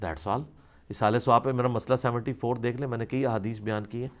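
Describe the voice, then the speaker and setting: A man's voice: medium pitch, a little fast. A man answers religious questions in an informal, explanatory lecture, reasoning conversationally as a scholar. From South Asia.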